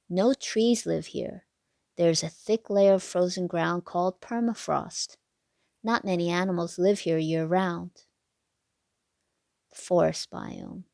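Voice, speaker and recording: Slow-paced woman reading a children's storybook. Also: medium pitch, gravelly, warm tone, no echo, studio quality. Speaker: read-aloud narrator for children. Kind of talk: children's picture book read-aloud.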